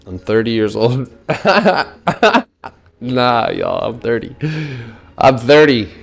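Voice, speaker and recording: A man's voice: mid-range voice, expressive, slow, creaky, soft background music. A man in his thirties talking through stock charts live, joking loosely with his chat between points. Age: 30s. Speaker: stock trading streamer. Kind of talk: casual live chart analysis.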